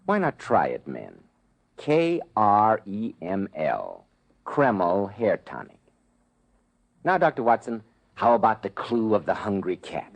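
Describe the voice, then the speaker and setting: A man's voice: lowish voice, sing-song, slow. A man announcing in polished, chummy 1940s radio salesman style. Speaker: announcer and sponsor pitchman. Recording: vintage 1940s radio recording.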